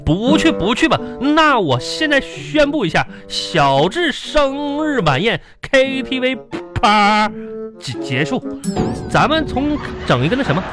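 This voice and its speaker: A man's voice: extremely high pitch, sing-song, measured pace, music in the background, heavily acted. Whiny, stammering office guy, swoopy delivery. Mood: coaxing. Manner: stammering, wheedling, pleading. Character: needy, whiny male office worker, pushy and flustered. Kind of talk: broadcast radio comedy sketch acting.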